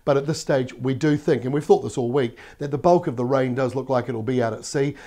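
A man in his thirties with a New Zealand accent, presenting a TV weather forecast in an upbeat, conversational way while getting over a cold that leaves his voice croaky. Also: medium pitch, fairly quick, dark tone. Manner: upbeat, conversational, explains while pointing at maps. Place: TV studio in front of a weather map screen. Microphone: lapel mic.

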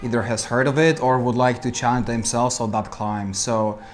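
A man in his thirties from Poland with a Polish accent, speaking reflectively in an interview with deliberate, measured phrasing. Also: medium pitch, steady tone. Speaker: amateur cyclist being interviewed. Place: indoor studio with dark backdrop. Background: music.

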